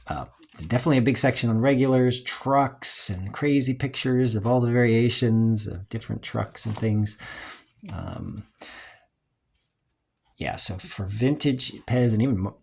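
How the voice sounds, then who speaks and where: A man's voice: medium pitch, measured pace, gravelly, a little mellow. A man giving a casual, unscripted review in a rambling, hesitant way, as the host of a collecting video.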